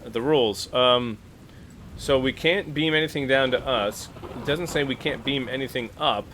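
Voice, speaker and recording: Male tabletop player, middle register, thinks aloud in stops and starts over noticeable rumbling noise. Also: normal speed, a bit bright. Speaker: player in a tabletop roleplaying game. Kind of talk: casual, animated tabletop game chat.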